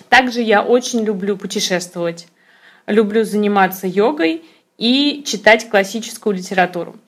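A woman in her twenties, a language teacher, introducing herself in a relaxed way with occasional filler sounds. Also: medium pitch. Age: 20s. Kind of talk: spoken self-introduction.

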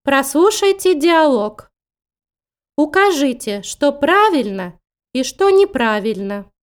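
A woman announcing exam instructions in a formal, deliberate manner. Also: sits high, sing-song. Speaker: test announcer.

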